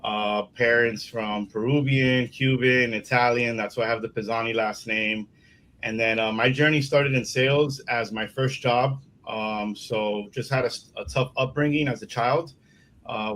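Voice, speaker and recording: A man's voice: mid-range voice, fairly bright tone, slight background noise. A middle-aged man from Miami, a sales consultant, telling his career story as an interview guest with many uh's and restarts. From Miami, Florida. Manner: rambling, many fillers and restarts. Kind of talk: casual interview talk.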